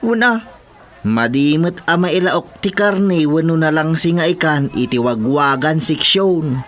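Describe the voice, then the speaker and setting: Actor as a slightly high-voiced village councilor. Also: male voice, lively intonation, a little slow, a bit bright, some chatter behind. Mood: reporting. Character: male village councilor, the chairman's touchy sidekick. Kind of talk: rural radio comedy drama acting.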